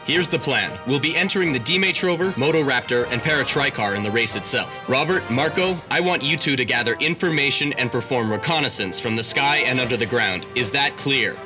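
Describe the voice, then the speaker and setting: A steady, commanding cartoon team captain, voiced by a man, laying out plans in a mid-pitched voice. Music plays clearly beneath his lines. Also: brisk, few pauses, lightly acted. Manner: steady, giving orders. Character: calm adult male team captain, commanding. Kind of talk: animated cartoon dub.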